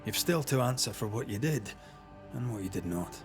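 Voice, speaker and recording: An actor playing a stern, plain-spoken banisher of ghosts in a video game, blunt and commanding in manner. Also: male voice, mid-range voice, animated, normal speed, music in the background, lightly acted. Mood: blunt, accusing. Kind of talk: dramatic video game acting.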